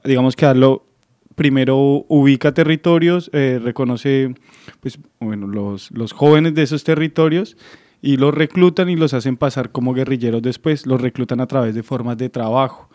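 A young man from Bogotá with a Colombian accent, explaining as an interview guest in a halting way, searching for words. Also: mid-range voice, a little mellow, no echo, clean studio sound. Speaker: interview guest, student activist. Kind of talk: explanatory radio interview answer.

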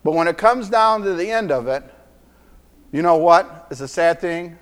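A middle-aged man preaching a sermon in a church, earnest, often repeating phrases and swinging between soft and emphatic lines. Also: a little high, expressive, moderate pace.

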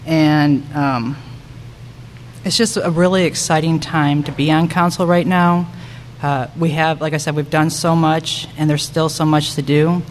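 Woman, local politician, halting unpolished forum delivery, long room reverb and light background noise. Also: low voice, steady tone. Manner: halting, searching for words. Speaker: city council member and candidate. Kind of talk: semi-improvised campaign remarks at a public forum. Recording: echoey hall with background noise.

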